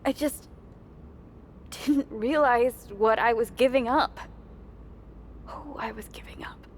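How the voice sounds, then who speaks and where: A woman's voice: on the high side, takes it slowly, slight background noise. An actress playing a teasing but caring female wrestling promoter and loyal friend in a video game cutscene. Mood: regretful.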